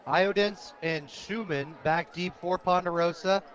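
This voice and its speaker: A man's voice: high-pitched, measured pace, fairly bright tone, some chatter behind. An American man doing live radio play-by-play of a football game as the announcer. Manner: reads sponsor plugs between plays. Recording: dull lo-fi outdoor radio broadcast.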